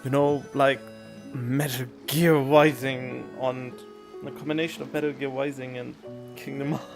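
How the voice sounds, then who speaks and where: A man's voice: mid-range voice, little pitch movement, measured pace, over music. A man giving casual, sardonic commentary while streaming a video game.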